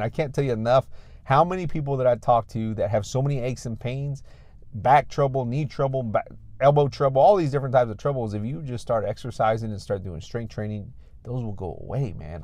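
A man's voice: medium pitch, darkish tone, no room sound. A man with an American accent speaking as a fitness coach, giving an emphatic, repetitive motivational talk straight to his online audience.

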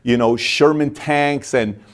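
A man's voice: medium pitch, slow pace. A man from Iran in his thirties, speaking as an interview guest, emphatic and repeating lines for stress. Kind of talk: candid interview talk.

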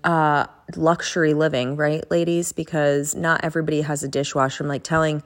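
A young woman with a notably low voice hosts a casual chat and prayer podcast. She sounds congested from a head cold. Her talk rambles along in a chatty way, full of fillers. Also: flat delivery, fairly quick. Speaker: podcast host.

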